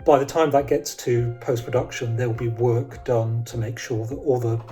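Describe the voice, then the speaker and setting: A man's voice: mid-range voice, steady tone, normal speed, warm tone. A man, an audio drama producer, explaining things informally to camera. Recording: clear music under the voice. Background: background music.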